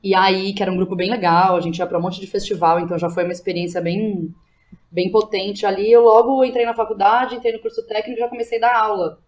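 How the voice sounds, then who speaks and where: A woman's voice: mid-range voice, steady tone, fast talker, darkish tone. A woman in her thirties with a Brazilian accent, talking casually and candidly as an interview guest, often checking in with little tag questions. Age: 30s. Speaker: podcast guest, theatre teacher and playwright. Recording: clear background noise behind the voice.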